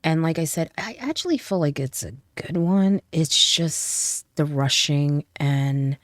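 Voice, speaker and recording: A woman's voice: deep voice, a little slow, dry recording, clean studio sound. A woman doing a casual tarot reading, a little stuffy from a cold, chatty and often checking in with "okay?" and "right?". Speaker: tarot reader.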